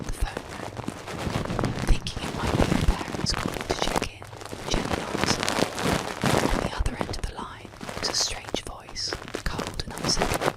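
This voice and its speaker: A woman narrates scripted bedtime tales in a breathy ASMR whisper. Her delivery is hushed and unhurried, with deliberate enunciation. She sits close to the microphone, so every word is soft and intimate. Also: rough recording. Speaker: ASMR storyteller and host.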